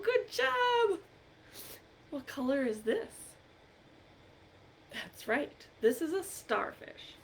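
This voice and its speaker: Very high, animated woman leading children's storytime. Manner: encouraging, asks questions and pauses for answers. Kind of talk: interactive read-aloud and singing for young children.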